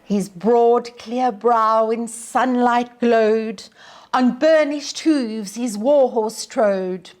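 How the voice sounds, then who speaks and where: Slow, theatrical woman storyteller. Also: on the high side. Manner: theatrical, measured, drops to hushed breathy tones. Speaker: teacher reading poetry to pupils. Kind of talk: dramatic poetry recitation and storytelling.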